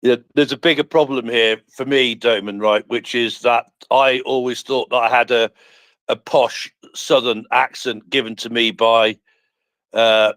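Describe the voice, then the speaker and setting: A man's voice: mid-range voice, steady tone, measured pace, fairly bright tone, dry recording. A man with a Midlands accent chatting casually and jokily on a live audio space. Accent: Midlands English. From English Midlands. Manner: chatty, jokey, many fillers. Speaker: panelist.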